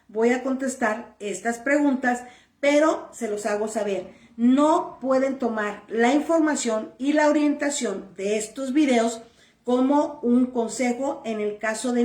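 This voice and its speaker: A woman with a somewhat high voice answers viewer questions about immigration papers. She is firm and blunt and keeps repeating her key points. The recording has a roomy echo. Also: darkish tone, distant mic. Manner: firm, blunt and repetitive, stressing points plainly. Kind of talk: direct-to-camera advice video.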